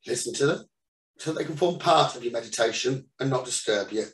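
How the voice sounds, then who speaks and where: A man leading a guided meditation as the teacher, giving soothing instructions in short phrases with pauses between them. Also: mid-range voice, fairly bright tone. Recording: roomy echo, distant from the mic.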